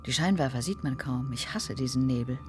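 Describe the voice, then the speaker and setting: A woman's voice: a bass voice, fairly quick, over music. An actress playing a melancholy, haunted woman in a radio drama, poetic, brooding and trance-like. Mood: haunted, dreamy.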